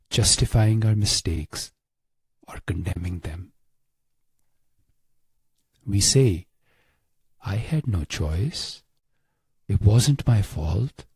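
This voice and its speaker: A man narrating a guided meditation in a hushed, measured way with long pauses. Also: lowish voice, breathy edge, dry recording.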